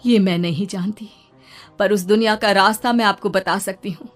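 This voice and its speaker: A woman voicing a calm mother's ghost. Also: medium pitch, normal speed, soft background music, lightly acted. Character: gentle ghost of a dead mother.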